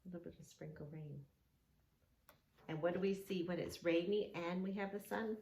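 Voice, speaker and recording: A woman with a fairly low, fry-edged voice guides a child through a storybook. She explains things in simple words and keeps checking in with encouraging questions. The room adds a noticeable echo. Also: normal speed. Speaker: host of a children's yoga story read-along. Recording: roomy echo with faint background noise.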